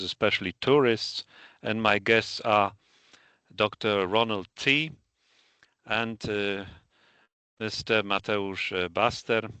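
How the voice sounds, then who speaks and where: Male interview host, smooth and resonant voice, slightly low, formal and halting. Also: bright, forceful tone, dry recording. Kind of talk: hosting an interview.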